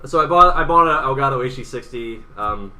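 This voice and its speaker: A man's voice: medium pitch, expressive, a little fast, over a noisy crowd. A man co-hosting a gaming podcast in casual, sometimes profane chat. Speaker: podcast co-host. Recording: distant mic, bright, faint background noise.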